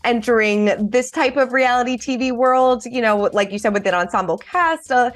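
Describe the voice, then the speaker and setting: A woman's voice: fairly high pitch, moderate pace. A woman interviewer asking questions in a casual, conversational way with frequent "you know". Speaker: entertainment interviewer.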